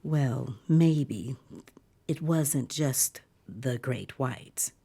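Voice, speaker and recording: A woman acting the uneasy, eerily giddy narrator of a dystopian tale in measured, dramatic, sometimes breathy narration. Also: extremely low pitch, dark tone, full character voice. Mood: uneasy, solemn. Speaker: actor narrating a dystopian story.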